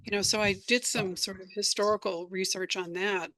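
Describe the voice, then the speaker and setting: An American woman speaks as an interview guest. Her voice sits at a middling pitch, and she tells her anecdotes conversationally, like someone used to explaining her own creative work. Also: fairly even intonation, normal speed, pushed and bright. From United States. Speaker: radio drama creator being interviewed.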